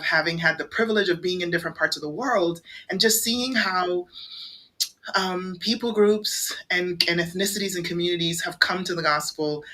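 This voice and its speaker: Bright-voiced Caribbean-raised woman host. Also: fairly low pitch, rough recording. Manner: welcoming, measured, with many fillers. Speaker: co-leader and host of a book circle. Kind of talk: hosting an online discussion.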